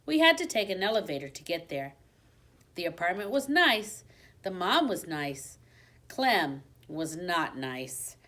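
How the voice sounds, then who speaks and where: A woman reading a children's book aloud like a teacher, with expressive storybook narration and dramatic emphasis. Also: fairly low pitch, unhurried. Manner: dramatic emphasis on exciting moments.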